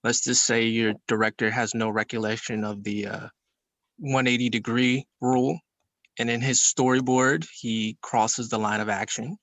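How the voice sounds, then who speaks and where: A man's voice: mid-range voice, fairly bright tone, dry recording. A man, a student, explaining informally with examples in a casual group discussion.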